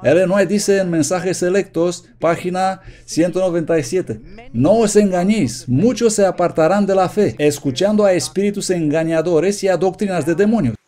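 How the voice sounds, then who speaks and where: Earnest man preaching in a mellow voice. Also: high-pitched, moderate pace. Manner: earnest, emphatic, reading quotations aloud. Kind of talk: sermon read from notes, Spanish voice-over.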